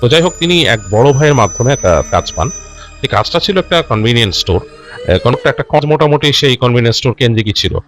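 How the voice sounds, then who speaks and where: A man hosting and telling a listener's ghost story in an unscripted, conversational way, halting and rephrasing as he goes and mixing in English words. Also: mid-range voice, animated, a bit bright, faint music behind. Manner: halting, self-correcting, repeats and rephrases phrases as he goes; mixes English words into his speech. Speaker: host narrating listener-submitted ghost stories.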